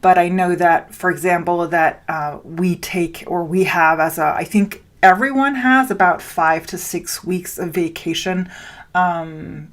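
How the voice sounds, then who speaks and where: Slow, lower-pitched woman podcaster from Denmark. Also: steady tone. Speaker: podcast host and spiritual business coach. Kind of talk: solo podcast talk addressed to listeners.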